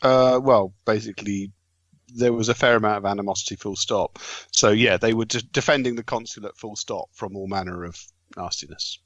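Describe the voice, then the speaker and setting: A man's voice: fairly low pitch, fairly quick, a bit bright, no room sound, dull audio. A man co-hosting a podcast with wry, articulate, rather wordy banter. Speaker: podcast co-host. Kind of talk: casual banter.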